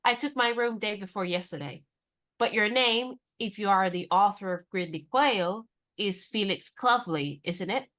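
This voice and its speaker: A spirited young American heroine of a radio comedy, acted by a woman. She has a mid-pitched voice and a brisk, forthright manner. She sounds independent and encouraging, as if nothing daunts her. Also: a bit bright, natural voice. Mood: polite, composed. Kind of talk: radio play comedy dialogue.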